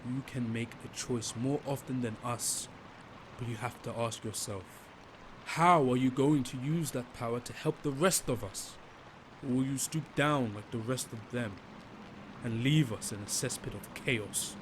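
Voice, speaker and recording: An impassioned working-class rebel, played by a man in an audio drama, pleading earnestly at a middling pitch. Faint background noise hums under his voice. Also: a little slow, lightly acted. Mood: persuasive.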